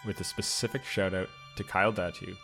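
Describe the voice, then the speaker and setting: A man's voice: deep voice, fairly even intonation, fairly quick, gravelly, a bit bright. A man presenting a scripted outro and thanking supporters of the show. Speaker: presenter reading closing credits. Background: music.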